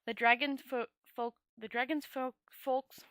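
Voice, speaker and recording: Casting: female amateur audiobook reader, fairly high bright voice, dry close sound, halting delivery with self-corrections. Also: fairly even intonation, dry recording. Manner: halting, often stumbling and correcting herself. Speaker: storyteller. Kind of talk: amateur read-aloud audiobook narration.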